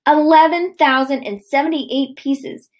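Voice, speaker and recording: A woman in her thirties, a kindergarten teacher, reading a children's book aloud with expressive, sing-song delivery. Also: high voice, unhurried. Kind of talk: read-aloud of a children's book to young kids. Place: living room at home, indoors.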